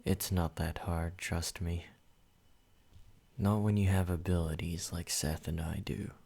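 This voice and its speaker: A man playing a slow, deep-voiced vampire. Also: fairly even intonation, vocal fry, a little mellow, breathy edge. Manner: slow, low and measured, addressing the listener. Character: calm possessive male vampire host. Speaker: ASMR roleplay creator. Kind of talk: ASMR roleplay monologue.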